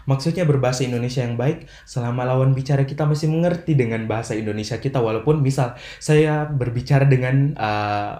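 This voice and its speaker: A young man, a podcast guest, explaining in measured, formal phrasing with frequent fillers. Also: medium pitch, dark tone. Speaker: podcast guest, language ambassador. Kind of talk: earnest motivational explaining. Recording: roomy echo.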